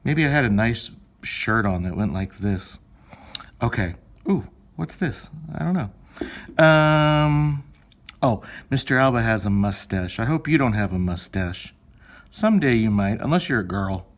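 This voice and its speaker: A man's voice: on the low side, animated, a little slow. Man teaching young children, informal and chatty. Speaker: elementary school teacher. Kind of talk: step-by-step lesson for young children, thinking aloud.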